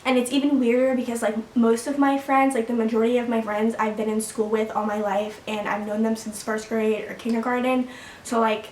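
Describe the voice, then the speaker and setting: A woman's voice: on the high side, level tone, a little fast, a little mellow, distant mic, slight background noise. A seventeen-year-old girl chatting casually to her viewers at home, rambling with lots of fillers and little laughs. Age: teens. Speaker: vlogger. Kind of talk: casual sit-down chat to camera. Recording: echoey room, mic set back.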